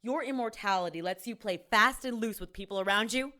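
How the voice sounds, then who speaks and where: A woman's voice: mid-range voice, brisk, pushed and bright, lightly acted. Woman playing a blunt, sarcastic female ex-cop. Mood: exasperated. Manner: blunt, sardonic. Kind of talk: naturalistic audio drama acting.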